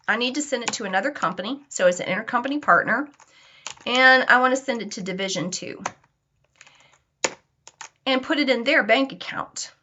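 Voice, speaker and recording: Female software trainer, bright forceful voice, conversational step-by-step delivery, slightly dull lo-fi recording. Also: a little high, a little hiss or hum. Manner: conversational, instructive. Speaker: software instructor. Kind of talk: informal step-by-step software tutorial.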